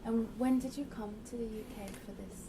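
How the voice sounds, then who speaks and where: A woman conducting an oral history interview, asking gentle, hesitant questions with frequent ums. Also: a little high, fairly even intonation, brisk, recorded from a distance, crowd noise.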